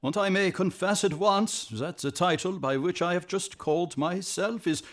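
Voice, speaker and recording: A man playing a proud, anxious king in an audio drama, with a pompous, formal and sometimes halting delivery. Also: high voice, natural voice. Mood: pompous, guarded.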